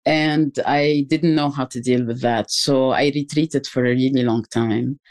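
A woman in her forties from Tunisia, a musician, answering interview questions thoughtfully with many pauses and restarts. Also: a bass voice, fairly even intonation, a little mellow, no room sound. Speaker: musician guest.

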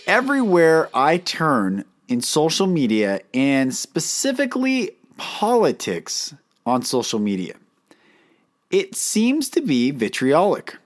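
A man's voice: on the high side, huge pitch swings, takes it slowly, soft background music. Earnest man who can't roll R's. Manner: earnest, emphatic, asks listeners rhetorical questions; cannot roll his R's. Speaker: podcast host and life coach. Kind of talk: solo monologue to listeners.

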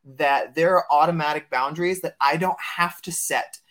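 A man's voice: on the high side, normal speed, fairly bright tone. A man hosting a casual conversation, talking in a reflective, thoughtful way as an educational leader. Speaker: podcast host, educational leader.

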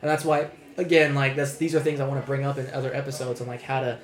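Picture a young man hosting a casual podcast. He rambles and restarts himself a lot and swears freely. His mid-pitched voice carries a noticeable room echo. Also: steady tone, quick pace. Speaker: podcast host.

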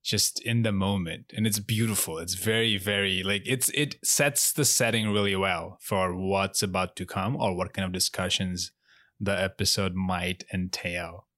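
A man's voice: sits low, fairly even intonation, moderate pace, bright, forceful tone, no room sound, clean studio sound. A man from Bangladesh, a comedian guest, chatting on a podcast over a call in an upbeat, giggly, rambling way. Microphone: remote call.

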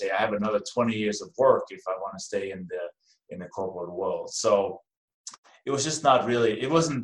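In a clearly echoey room, a middle-aged man speaks with a Danish accent. His voice sits on the low side, and he talks casually, like a guest being interviewed. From Denmark. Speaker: podcast guest, real estate investor. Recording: roomy, echoing sound.

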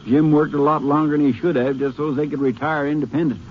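An actor playing a friendly small-town sheriff in an old radio western, folksy and reassuring. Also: male voice, a little high, fairly even intonation, fast talker, natural voice. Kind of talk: 1950s radio drama acting. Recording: dull lo-fi old radio recording with faint noise.

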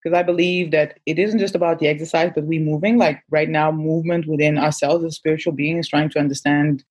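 A woman whose first language is Portuguese, talking in an easy, animated way. Her voice is husky and sits fairly low. She restarts sentences often as she goes. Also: fairly quick. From Angola. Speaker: guest coach. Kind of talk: casual conversation.

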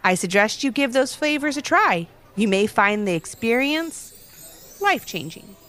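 A woman voicing a kind, mysterious ice cream man in a children's story, speaking calmly with a knowing air. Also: fairly high pitch, moderate pace, pitched above the performer's own voice.

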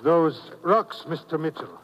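A man's voice: a little high, lively intonation, a little slow. An actor playing a courteous, earnest male engineer with careful, formal phrasing in a 1950s radio drama. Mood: grave, earnest. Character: courteous, earnest foreign engineer running a road project. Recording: dull, noisy vintage radio recording.

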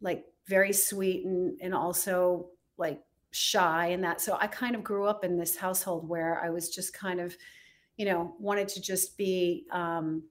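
A woman from Rhode Island, a fashion designer guest, talking in a relaxed, chatty way full of fillers. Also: fairly low pitch, a little slow. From United States, Rhode Island. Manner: chatty, relaxed, many fillers like "like" and "you know". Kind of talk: casual interview chat.

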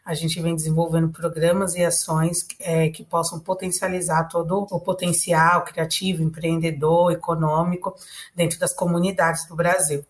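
A Brazilian woman in her thirties speaks as a podcast guest, reflective and often restarting her sentences. Her voice is low-pitched and her intonation stays quite flat. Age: 30s. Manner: thoughtful, restarts phrases. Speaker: guest, community organizer. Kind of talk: podcast conversation.

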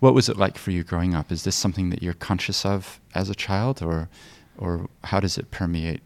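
A man hosting a podcast, reading a scripted introduction and then asking gentle, hesitant questions. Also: low-pitched, fairly even intonation, fairly quick, gravelly. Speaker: podcast host.

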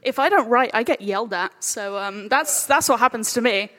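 A woman's voice: fairly high pitch, moderate pace, pushed and bright. A woman on a live panel, breezy and quippy in casual banter. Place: live panel in a reverberant room. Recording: echoey hall with long reverb.

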